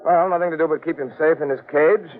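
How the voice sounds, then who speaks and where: Actor as an intense gorilla hunter, vintage radio. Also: male voice, fairly high pitch, fast, slight background noise, close to an everyday voice. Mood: wary, stern. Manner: dramatic, grave. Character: feverish, brooding gorilla hunter, uneasy and intense. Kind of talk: 1940s radio mystery acting. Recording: tinny vintage radio recording.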